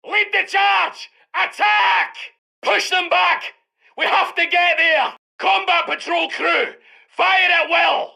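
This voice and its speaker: An actor playing an aggressive Second World War British tank commander in a video game, with a Scottish accent and emphatic military commands. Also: male voice, extremely high pitch, steady tone, slow, vocal fry, bright, forceful tone, raised voice, heavily acted. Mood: battle fury, then fierce, commanding. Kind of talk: video game unit voice lines.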